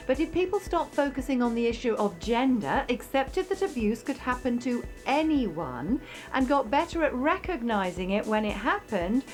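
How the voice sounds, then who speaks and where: A woman acting an earnest, impassioned abuse support worker in a radio drama, speaking with pleading emphasis. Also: a little high. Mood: impassioned, frustrated.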